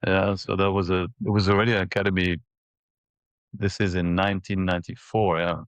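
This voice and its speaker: A man in his forties from Ethiopia and Mauritius reminiscing in a casual interview, haltingly, repeating words as he thinks. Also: low voice, flat delivery, dry recording. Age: 40s. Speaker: interview guest, former professional tennis player.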